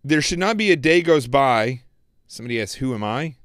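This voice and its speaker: A man's voice: medium pitch, lively intonation, fairly quick, fairly bright tone, no echo, clean studio sound. Emphatic preachy man host. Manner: preachy, emphatic, repeats phrases. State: losing his voice. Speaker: show host. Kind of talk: political commentary livestream.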